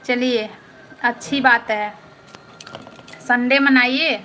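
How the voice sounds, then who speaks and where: A woman hosts a casual live stream, answering viewers one by one in a high, chatty voice. She talks in loose repetitive loops and keeps checking that they understood. Noisy household ambience sits under her voice. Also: fairly even intonation, drawn-out words, pushed and bright. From Patna, Bihar.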